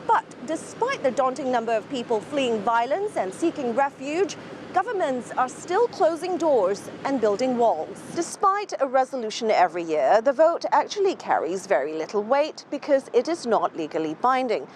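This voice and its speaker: A woman news correspondent delivering formal, measured broadcast reports. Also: high voice, sing-song, darkish tone, a little hiss or hum.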